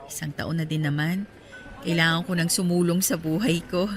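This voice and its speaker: Casting: woman as a hesitant, soft-spoken widowed-in-spirit woman, faint music under. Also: on the low side, fairly even intonation, moderate pace, natural voice. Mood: wistful. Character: grieving woman, gentle and wistful. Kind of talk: radio drama acting.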